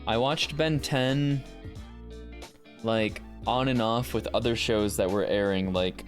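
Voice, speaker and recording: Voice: medium pitch, fairly even intonation, takes it slowly, faint music behind. A livestreamer and voice actor chatting casually and thinking aloud as they go.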